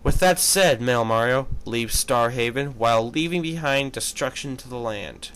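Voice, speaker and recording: A man reading fan fiction aloud as an amateur, halting and correcting himself, with casual commentary. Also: medium pitch, fairly bright tone, clear, resonant tone, rough recording. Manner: halting, stumbles over words and corrects himself.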